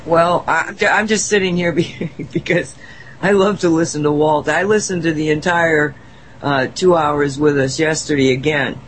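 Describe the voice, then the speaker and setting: A woman's voice: low voice, moderate pace, slight background noise, poor audio. Effusive, stammering woman guest. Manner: enthusiastic, effusive, with laughs and stammered restarts. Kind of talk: casual talk-show chat.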